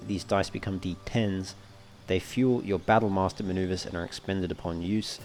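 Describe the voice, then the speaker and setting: A man giving casual let's play commentary, thinking aloud in a measured, understated way and often reading game text out. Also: low-pitched. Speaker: gamer narrating a playthrough.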